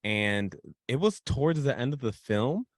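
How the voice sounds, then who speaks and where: A man from the Dominican Republic talks in a mid-range voice, animated and eager, tripping over his words as the story builds. The sound is dry and close, with no room around it. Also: mid-range voice, unhurried, dry recording. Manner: animated storyteller, repeats and restarts when excited. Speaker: guest author. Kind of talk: casual podcast interview.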